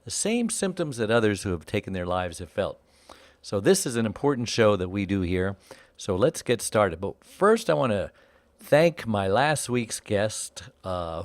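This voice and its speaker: An enthusiastic male radio host with a very animated, swooping delivery who leans on words for emphasis. He sounds close and dry on the mic, with no room around him. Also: fairly low pitch, no echo. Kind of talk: upbeat radio show hosting. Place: Los Angeles.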